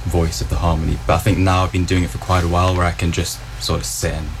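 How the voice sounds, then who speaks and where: Deep flat-voiced guy around twenty, calm and matter of fact, noticeable background noise on the mic. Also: quick pace. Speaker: vocal group arranger. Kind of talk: interview answers.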